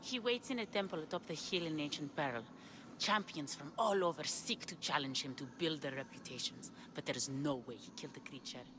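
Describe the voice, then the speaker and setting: A woman's voice: sits low, fairly quick, background noise, lightly acted. An actress playing a lovesick, desperate woman of ancient Greece in a video game, with an earnest, pleading delivery. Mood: earnest, explaining. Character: lovesick, desperate ancient Greek woman seeking her lover. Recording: music behind the dialogue.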